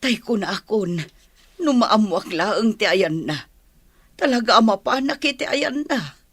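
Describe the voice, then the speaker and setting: A woman's voice: medium pitch, animated, unhurried, no room sound. A woman with an Ilocano accent playing a worried mother searching for her daughter in a radio drama, plaintive but determined.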